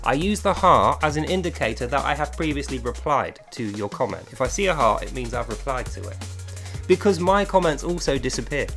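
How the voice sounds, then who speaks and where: A British man from England speaks in a medium-pitched voice as an online video creator. He is confrontational and insistent, pressing his points emphatically at a single listener. Faint music plays behind him. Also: big pitch swings, fairly quick, fairly bright tone. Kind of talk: direct-address monologue to camera or mic.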